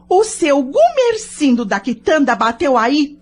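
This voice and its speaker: A woman's voice: sits high, big pitch swings, measured pace. A woman acting a scolding, indignant wife and mother with a Brazilian accent in an audio soap opera. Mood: indignant, alarmed. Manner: scolding, dramatic, exclamatory. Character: nagging, indignant wife and mother deep in debt.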